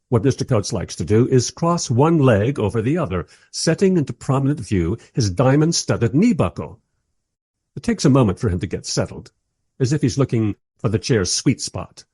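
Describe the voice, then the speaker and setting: Man playing a wry, husky film director. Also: medium pitch, mellow, no room sound, clean studio sound. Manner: conversational, dryly sardonic, diplomatic. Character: wry, long-suffering male documentary director, placating and sardonic. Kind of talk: first-person radio play narration and dialogue.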